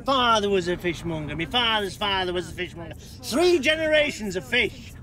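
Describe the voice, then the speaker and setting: A pompous, touchy fishmonger holds forth from the back of a small car. His voice sits high for a man and swings widely as he boasts about his trade. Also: lively intonation, moderate pace, pushed and bright, soft background music. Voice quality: pompous, self-important. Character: boastful middle-aged male fishmonger, touchy.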